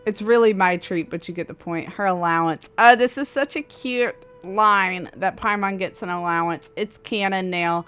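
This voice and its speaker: A young adult woman giving casual live-stream game commentary, chatty, slangy and quick to swear and react. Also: medium pitch, a bit bright, smooth. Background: game music.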